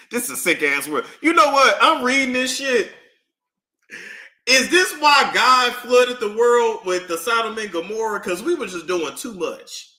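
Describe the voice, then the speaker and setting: A young man streaming casual commentary while he reads court documents aloud, swinging between loud outbursts and low muttering. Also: animated, unhurried. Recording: echoey room.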